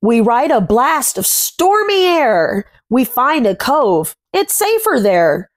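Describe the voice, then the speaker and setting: A woman in her thirties reading a picture book aloud to children, commenting and putting questions to young listeners in simple, deliberate phrases. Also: fairly high pitch, big pitch swings. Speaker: children's read-aloud host. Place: small furnished room at home.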